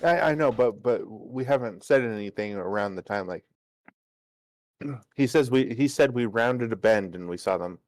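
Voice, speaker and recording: A man's voice: medium pitch, animated, normal speed, darkish tone. A man talking casually as a player in a tabletop game, thinking aloud and repeating his words.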